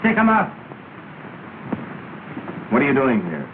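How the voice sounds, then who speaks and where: An actor playing a gruff, armed intruder who barks orders in a menacing way, in a 1930 film. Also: male voice, medium pitch, wildly swooping pitch, a little slow. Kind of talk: 1930 film comedy acting. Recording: tinny, hissy 1930 film soundtrack.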